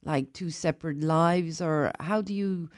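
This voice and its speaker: A woman hosting a radio interview, asking questions haltingly with many restarts and fillers. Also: low voice, a little mellow, no echo, studio quality. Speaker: radio host.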